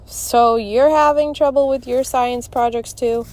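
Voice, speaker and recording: A woman's voice: fairly high pitch, fairly even intonation, unhurried, a little mellow, pitched above the performer's own voice, lightly acted. Woman acting a genial explaining dad. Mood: amused. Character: genial, smiling scientist father explaining things.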